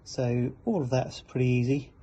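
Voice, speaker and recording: A slightly dull, lo-fi recording with faint noise carries a man's soft-edged, mellow voice. He talks through a practical job informally, as a how-to presenter. He hesitates and searches for words while he works. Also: mid-range voice, normal speed. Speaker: presenter of a boat fitting shop's how-to videos. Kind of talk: hands-on DIY walkthrough, informal commentary. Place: aboard a small cabin motorboat.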